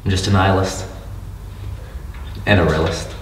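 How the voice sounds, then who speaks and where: A young actor playing a deadpan male student who calls himself a nihilist, talking flatly and matter-of-factly. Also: deep voice, moderate pace, close to an everyday voice. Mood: detached. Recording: roomy, distant, light noise.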